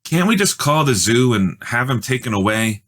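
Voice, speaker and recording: A man voicing a skeptical young boy in a storybook, easily rattled, with a drawn-out way of talking. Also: mid-range voice, sing-song, a bit bright, pitched above the performer's own voice. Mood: worried. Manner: drawn-out, unimpressed.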